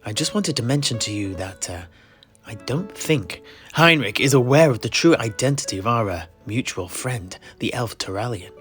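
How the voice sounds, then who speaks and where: A man playing a courteous, scholarly tavern keeper in a fantasy audio play, speaking formally and deliberately. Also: mid-range voice, animated, a bit bright, soft background music, natural voice. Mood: confiding, cautious. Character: courteous, scholarly tavern keeper and amateur historian, master to apprentices. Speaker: host.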